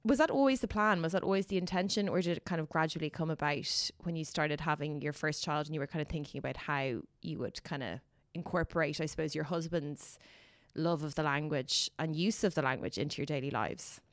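A woman's voice: deep voice, fairly quick, no room sound, clean studio sound. A woman with an Irish accent co-hosting a podcast, asking chatty, rambling questions. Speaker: podcast co-host. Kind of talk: casual interview chat.

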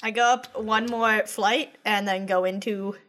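A young woman playing a tabletop role-playing game, chatty, thinking out loud and laughing now and then. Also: mid-range voice, fairly even intonation, unhurried, slight background noise. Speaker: player.

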